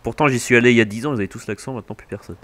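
A man's voice: mid-range voice, rapid-fire. A man from France hosting a co-op farming game session, chatting casually and joking with his co-player. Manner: relaxed, joking banter. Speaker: gaming channel host. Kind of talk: casual co-op gameplay chat.

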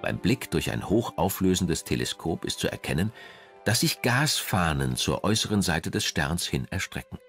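A man's voice: low voice, very animated, normal speed, soft background music. A man reading scripted documentary narration in German as the narrator.

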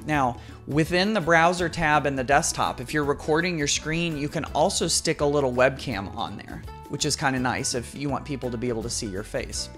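A man's voice: medium pitch, a little fast, few pauses, a bit bright. A middle-aged American man giving a casual, conversational tutorial, explaining things step by step like an educator. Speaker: educator, tutorial video host. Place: small indoor home office at a desk. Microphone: desktop microphone close in front. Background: background guitar music.

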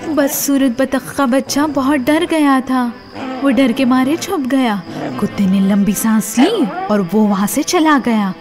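A woman reading a children's story as an animated scripted narration. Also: high voice, faint music behind.

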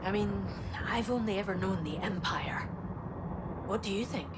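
A woman's voice: mid-range voice, normal speed, very noisy, natural voice. A woman playing a guarded, pragmatic military deserter in a video game. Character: female Imperial army deserter, guarded and pragmatic.

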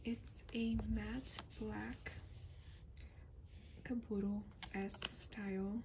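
Soft, breathy woman whispering ASMR, noisy recording. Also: medium pitch, level tone, drawn-out words. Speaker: ASMR doll reviewer. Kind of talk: soft-spoken ASMR, rambling show and tell. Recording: clearly noisy lo-fi home recording.

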